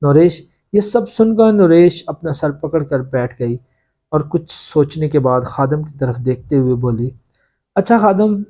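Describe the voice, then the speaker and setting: Mellow, slightly high-voiced male story narrator. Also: unhurried. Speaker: audiobook narrator. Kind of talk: dramatic scripted story narration.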